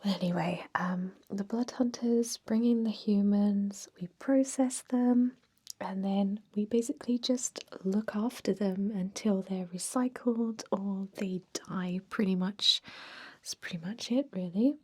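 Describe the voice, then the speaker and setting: A woman playing a chatty, laid-back, gossipy female vampire interviewer in an ASMR roleplay, in a soft whisper with an informal, confiding manner. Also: mid-range voice, unhurried, slightly rough. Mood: explaining, chatty.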